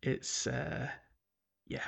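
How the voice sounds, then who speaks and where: A man chatting casually about a video game as a podcast co-host, in a hesitant, halting way with restarts.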